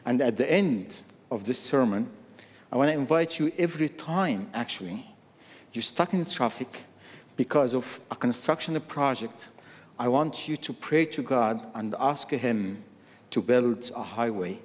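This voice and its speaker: A man from Egypt delivers a sermon at a very slow, measured pace. He lingers on his words and repeats key phrases for emphasis. The sound carries a long reverb, as in a church sanctuary. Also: mid-range voice, animated. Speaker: guest preacher.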